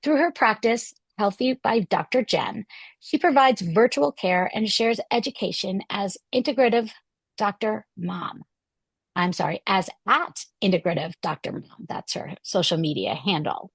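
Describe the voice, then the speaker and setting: A woman in her fifties hosting a podcast interview, her voice hoarse, chatty and upbeat with occasional fillers. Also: mid-range voice, lively intonation, unhurried, no echo. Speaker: podcast host.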